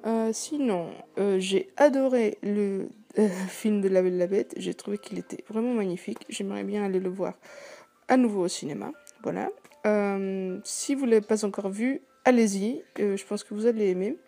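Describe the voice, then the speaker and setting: A hoarse young woman with a sore throat, talking softly and hesitantly as she hosts her painting vlog. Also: mid-range voice, normal speed. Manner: speaks softly, hesitant with many fillers. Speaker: art channel host. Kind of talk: casual vlog voice-over about painting.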